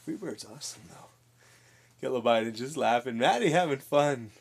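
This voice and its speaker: Giggly, husky young man chatting. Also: medium pitch, animated, moderate pace. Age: 20s. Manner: giggly, reads chat messages aloud. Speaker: livestream host. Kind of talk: casual chat with chat while painting.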